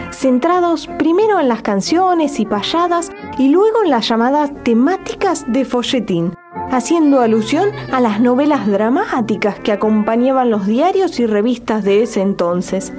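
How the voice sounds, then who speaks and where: Brief: female narrator for a scripted radio documentary, high voice, very lively melody, music clearly behind. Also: a little slow.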